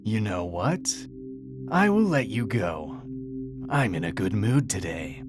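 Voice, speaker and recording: A man voicing a big bad wolf in a dark fairy tale, sly, cruel and sinister, with a low growl. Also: very animated. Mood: scheming. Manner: slow, sinister, drawn-out. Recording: music behind the voice.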